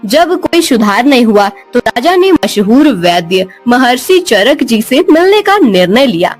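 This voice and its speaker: A woman's voice: a little high, very animated, measured pace. Casting: female fairy tale narrator, scripted storytelling, very varied melody, light music bed.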